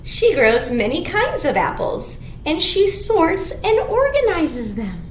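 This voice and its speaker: A woman's voice: very high voice, wildly swooping pitch, slow pace. A woman leading a storytime for small children as a librarian, reading aloud and singing in simple, sing-song phrases. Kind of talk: children's storytime read-aloud with songs and rhymes. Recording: echoey room, clear background noise.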